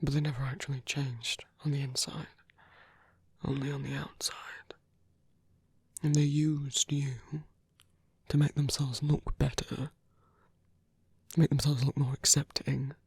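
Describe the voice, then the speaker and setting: A performer acts out a seductive villain in a romantic ASMR roleplay. The voice is breathy and very dry, with a slow, halting delivery. It coaxes tenderly and protectively, often sinking into a whisper. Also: mid-range voice, level tone, dry recording, exaggerated voice. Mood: bitter, persuasive. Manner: slow, halting, gently coaxing.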